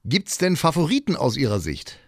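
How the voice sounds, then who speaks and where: A man hosting a podcast, asking brisk questions and thanking his guest. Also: fairly high pitch, wildly swooping pitch, dry recording, clean studio sound. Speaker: podcast host. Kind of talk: podcast interview.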